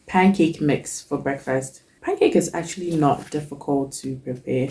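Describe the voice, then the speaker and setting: A woman's voice: deep voice, moderate pace, warm tone. A young woman hosting her cooking channel, chatting casually through a list with frequent ums while she has a cold. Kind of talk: casual talk to camera.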